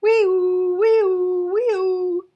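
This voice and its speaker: A woman voicing a wailing fire truck siren for a children's storybook, loud and repetitive. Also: steady tone, extremely slow, muffled recording, full character voice. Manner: high, loud, repeated wailing.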